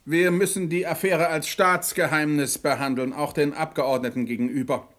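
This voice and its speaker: An actor in a German radio play playing an authoritative, evasive premier who speaks in a measured, bureaucratic way. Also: male voice, fairly high pitch, steady tone, fairly bright tone, natural voice. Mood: officious, controlled. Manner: measured, officious, smoothly bureaucratic. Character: evasive, authoritative male premier chairing a secret cabinet.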